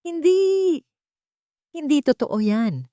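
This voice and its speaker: A woman's voice: high-pitched, lively intonation, takes it slowly, warm tone, no echo, studio quality, voice pushed up. A woman voicing a proud, wronged father, anguished and defiant, in an emotional audio drama. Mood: angry disbelief. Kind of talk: melodramatic audio drama acting.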